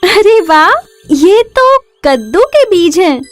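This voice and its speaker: A kind young village wife, voiced by a woman storyteller, speaks in a high, very lively voice. She is gentle, respectful and eager, made for a children's cartoon. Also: takes it slowly, soft background music, heavily acted. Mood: excited, amazed.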